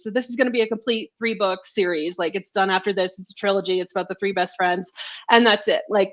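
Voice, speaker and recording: A woman author chatting casually in a live interview, telling stories in an animated, giggly way over a video call. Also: mid-range voice, lo-fi sound. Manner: chatty, animated storytelling, laughs often. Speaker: romance author being interviewed. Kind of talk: casual live book chat.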